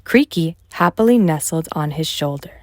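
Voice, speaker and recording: A woman reading a bedtime story aloud for children in an expressive narrating style. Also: low voice, moderate pace, dry recording, studio quality. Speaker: storybook reader.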